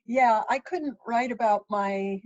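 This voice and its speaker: A woman in her sixties who grew up in Ethiopia, answering interview questions thoughtfully with frequent pauses and restarts. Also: on the high side. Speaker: author and interviewee. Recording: dull lo-fi sound.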